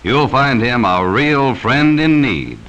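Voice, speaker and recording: Forceful, stern 1940s American film narrator, male. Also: medium pitch, very animated, takes it slowly, dull audio. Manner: stern, cautionary, addressing children directly. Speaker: narrator of an educational safety film. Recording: vintage 1940s film soundtrack.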